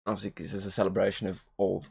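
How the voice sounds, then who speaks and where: A croaky-voiced man co-hosting a casual film review podcast, rambling with lots of "um" and "obviously". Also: deep voice, completely flat delivery, very fast, a little mellow. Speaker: podcast co-host.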